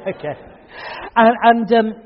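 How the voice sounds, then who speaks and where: A man with a notably high voice hosts an evening event in a hall, chatting and joking with his listeners. The recording has a dull, lo-fi quality. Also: slow, slight background noise. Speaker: event host and speaker. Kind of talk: casual chat at a church event. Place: hall with an audience.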